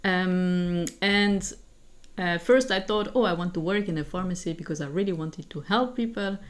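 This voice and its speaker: Swiss woman, 40s, earnest podcast guest, lowish voice, halting with fillers and restarts. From Switzerland. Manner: earnest, thinks aloud with many fillers and restarts. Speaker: guest, assistant professor and founder. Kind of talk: conversational interview answers.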